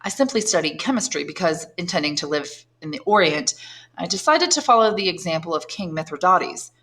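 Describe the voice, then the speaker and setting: Woman reading a suave nobleman: calm, knowing, courteous, lower-pitched storybook voice. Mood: smooth, knowing. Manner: measured, courteous, lecturing.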